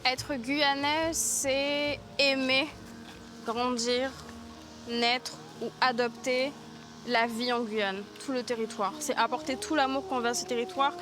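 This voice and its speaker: A woman's voice: high-pitched, fairly even intonation, slow pace. A woman from Guiana, answering an interview earnestly and repeating words for emphasis. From French Guiana. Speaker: village activist interviewee. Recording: music behind the voice. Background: background music.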